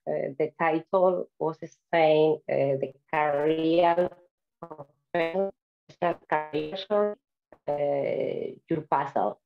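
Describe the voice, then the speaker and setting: A woman's voice: deep voice, slow pace, creaky, lo-fi sound, faint music behind. A woman from Spain with a Spanish accent gives an online seminar talk over a video call, chatty and friendly, with frequent "uh" fillers. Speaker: invited speaker.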